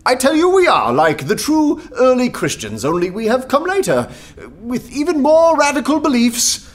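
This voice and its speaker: An actor playing an anarchist poet in a radio play, making an earnest, nervous speech that pleads meekness. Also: male voice, extremely high pitch, sing-song, moderate pace. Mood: earnest, placating.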